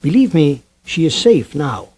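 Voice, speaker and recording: A man's voice: on the high side, big pitch swings, measured pace, warm tone, slightly rough, full character voice. An actor playing an elderly male vampire hunter professor, formal and urgent, in a 1970s radio drama. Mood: grave.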